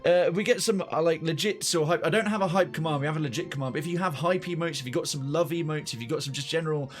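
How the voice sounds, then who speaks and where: A man's voice: fairly high pitch, fast talker, faint music behind. A man hosting a game livestream, chatting casually with his viewers and repeating phrases for emphasis.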